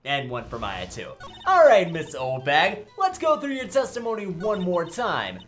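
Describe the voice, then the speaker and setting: A woman's voice: fairly low pitch, wildly swooping pitch, unhurried, soft background music. A woman voicing a cocky, quirky young male defense lawyer in an amateur game dub, with wry asides and pointed questions. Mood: wry, probing.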